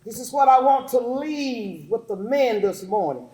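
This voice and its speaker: A woman's voice: on the high side, expressive, unhurried, mellow. A woman preaching a call-and-response church sermon, emphatic and repeating phrases for effect. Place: large echoing room with an audience. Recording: echoey hall with congregation responding.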